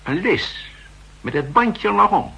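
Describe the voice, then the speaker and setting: An actor playing a self-assured official who presents evidence in deliberate, declamatory Netherlands Dutch in a 1960s radio drama. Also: male voice, sits high, very animated, vocal fry, sharp tone, full character voice, poor audio. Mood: emphatic, triumphant.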